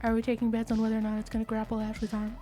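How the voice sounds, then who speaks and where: A woman's voice: a little high, monotone, racing, dark tone, faint music behind. A woman chatting casually as a tabletop game player, asking questions and explaining what is happening.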